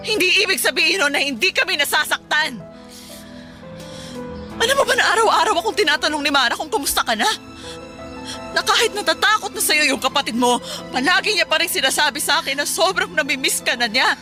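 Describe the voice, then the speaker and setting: Stepmother role in radio drama: high, forceful tone, scolds and pleads out of love. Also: expressive, fairly quick. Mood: hurt, pleading. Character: worried, stern, loving stepmother of a troubled teenager. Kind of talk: Tagalog radio drama acting. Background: background music.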